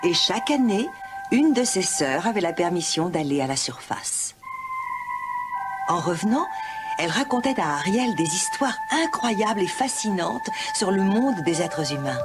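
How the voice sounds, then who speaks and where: Expressive woman narrating a fairy tale. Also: fairly low pitch, a little slow, loud music behind the voice. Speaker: storyteller narrator.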